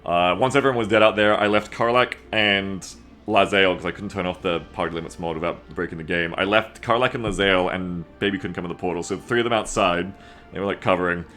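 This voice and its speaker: A man chatting casually to viewers as a game streamer, rambling, excitable and swearing freely. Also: sits low, fast. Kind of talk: casual livestream chat to viewers. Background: music.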